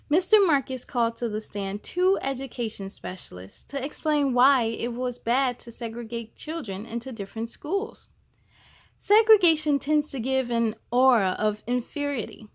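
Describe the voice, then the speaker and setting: A woman reading a children's picture book aloud as a story-time host, with a deliberate, expressive storytelling delivery. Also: on the high side.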